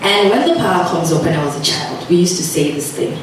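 A woman with a Nigerian accent, a developer, giving a conference presentation with a slow, emphatic delivery. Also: lowish voice, expressive, background noise. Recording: echoey hall, speaker far from mic.

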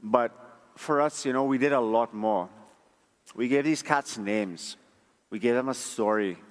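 A man from Trinidad gives a prepared conference talk in a measured, conversational, explanatory way. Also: medium pitch. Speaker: conference speaker, front-end engineer. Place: large conference room. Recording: echoey hall, long reverb.

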